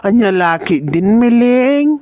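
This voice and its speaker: A woman acting a hospitable but sharp-tongued neighbor in a comedy radio drama. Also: a little high, very animated, takes it slowly, dry recording. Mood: scolding.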